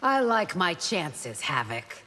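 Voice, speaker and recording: An actress playing a proud, loyal and devout royal bodyguard in a video game, composed, formal and stern. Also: female voice, lowish voice, big pitch swings, takes it slowly. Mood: stern, defiant. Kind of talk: video game character acting. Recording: long reverb with faint noise.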